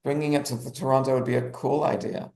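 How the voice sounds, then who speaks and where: Male playwright guest, medium pitch, enthusiastic halting conversational delivery, echoey room sound. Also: steady tone, gravelly, warm tone. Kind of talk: conversational interview talk. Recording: roomy echo.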